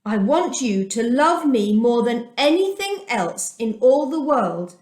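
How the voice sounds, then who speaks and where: Storybook God, loving and fatherly, read by a woman, lively high delivery, roomy sound. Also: measured pace.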